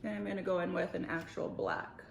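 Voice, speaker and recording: A young adult woman chatting casually while doing her makeup, relaxed and joking. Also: on the low side, gravelly. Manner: relaxed, joking, drawn-out speech. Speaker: makeup vlogger. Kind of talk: casual makeup tutorial chat. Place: indoor room at a makeup table. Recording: echoey room with faint noise.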